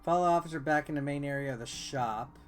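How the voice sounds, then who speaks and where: A man's voice: fairly high pitch, fast talker. A man reading visual novel text aloud as a game player, with offhand, wry asides. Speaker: video game reviewer and let's player. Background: game music.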